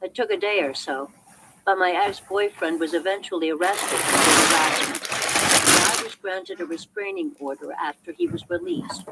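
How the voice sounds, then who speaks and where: A woman's voice: fairly low pitch, sing-song, moderate pace, vocal fry. A woman reading as an audiobook narrator in a scripted narration style, heard as a replayed recording. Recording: noisy replayed audiobook playback.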